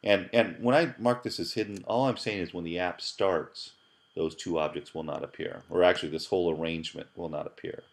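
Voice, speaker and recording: A man with a very deep voice talks through a programming screencast. He explains casually as he goes, thinking aloud with fillers and restarting words. He sounds middle-aged and relaxed, like a teacher at his desk. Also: a little fast, vocal fry. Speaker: professor teaching app programming. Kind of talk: screencast tutorial narration.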